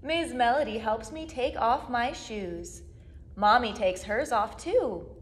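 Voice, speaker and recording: A young woman reads a picture book aloud for small children. Her voice is high and swings widely in pitch. She goes slowly, drawing out words in a sing-song educator's style. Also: lively intonation. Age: 20s. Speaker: children's music class educator. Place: small plain indoor room, seated.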